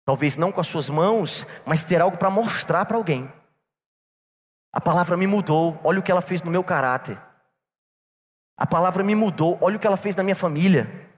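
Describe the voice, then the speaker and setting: A man's voice: sits high, brisk, long pauses. A man preaching a sermon to a church congregation, telling a personal story and calling them brothers. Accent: non-local Brazilian. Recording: long reverb in a church hall.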